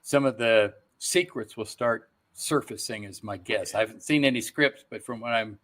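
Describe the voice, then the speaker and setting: A man's voice: medium pitch, moderate pace, fairly bright tone. An older man chatting casually as a guest actor in an interview, easygoing and given to chuckling. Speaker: voice and stage actor, podcast guest.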